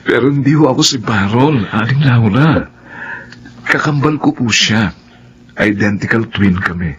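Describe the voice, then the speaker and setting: A man's voice: medium pitch, animated, measured pace, fairly bright tone, breathy edge, muffled recording, exaggerated voice. An actor playing an earnest young man in a radio drama, often stammering as he explains himself. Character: earnest young man, flustered then patient. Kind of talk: Filipino radio drama acting.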